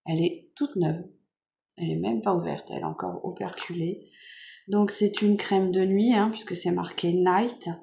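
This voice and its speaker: Casting note: French woman vlogger, hoarse and stuffy-nosed, low gravelly voice, soft and meandering, faint room noise. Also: steady tone, normal speed. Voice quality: nasal. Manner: soft-spoken, meandering with many fillers. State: hoarse from a cold. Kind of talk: casual chat unboxing makeup gifts. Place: home.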